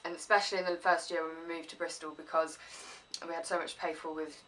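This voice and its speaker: Woman, stuffy cold, enthusiastic rambling, crisp sharp tone, distant mic. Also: sits low, flat delivery, quick pace, slight background noise. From England. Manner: rambling, enthusiastic, self-deprecating. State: congested with a cold, sniffly. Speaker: vlogger. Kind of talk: casual chatty haul video. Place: room at home.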